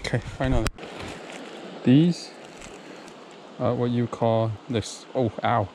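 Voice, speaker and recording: A man's voice: mid-range voice, steady tone, slow pace. A young man narrating a casual outdoor vlog in an informal way, exclaiming in short bursts, recorded outdoors. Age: young adult. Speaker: vlogger, fishing and cooking channel host. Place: outdoors, wading in a wooded river. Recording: outdoor recording with clear running water noise. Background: stream water and ambient noise.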